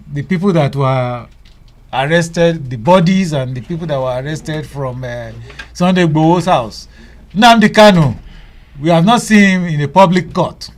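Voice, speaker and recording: A male radio host talking casually, with many fillers and restarts. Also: on the high side.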